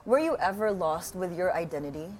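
A woman's voice: fairly low pitch, lively intonation, a little fast, slight background noise. A woman co-hosting a casual talk show, enthusiastic and affirming as she asks questions. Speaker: co-host.

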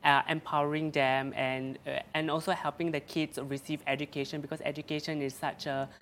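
A man's voice: a little high, little pitch movement, fairly quick, fairly bright tone, slight background noise. A young man from Singapore, a guest chatting casually and laughing as he talks, with many restarts and fillers. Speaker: guest, social media creator. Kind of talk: casual chat.

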